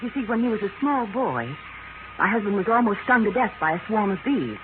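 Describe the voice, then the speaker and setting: An actress playing a proper, guarded wife in a 1940s radio drama, with a formal, earnest manner. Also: female voice, mid-range voice, big pitch swings, fairly quick, natural voice. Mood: earnest, protective. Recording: noisy, tinny 1940s radio recording.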